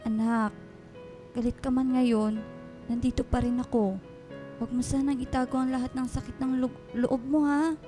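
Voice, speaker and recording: A woman playing a gentle, caring older nanny in a radio drama, soothing and patient. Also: sits high, little pitch movement, normal speed, pauses often, soft background music, natural voice. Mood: worried, tender. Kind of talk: Filipino radio drama acting.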